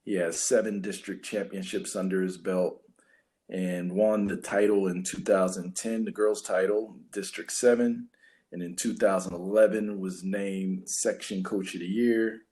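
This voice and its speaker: A man's voice: low voice, fairly even intonation, unhurried, warm tone. A man from western Pennsylvania co-hosting a podcast, introducing a guest and asking questions in a conversational way. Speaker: podcast co-host. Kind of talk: casual interview hosting.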